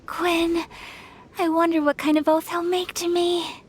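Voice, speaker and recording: A woman's voice: extremely high pitch, little pitch movement, slow, slight background noise. A woman voicing a breezy, opinionated young townswoman in a fantasy video game. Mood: breezy, dreamy.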